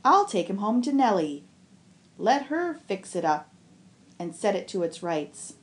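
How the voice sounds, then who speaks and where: Grizzled old fisherman, kindly, drawling, matter-of-fact, slow, woman reading. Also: mid-range voice, sing-song. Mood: musing.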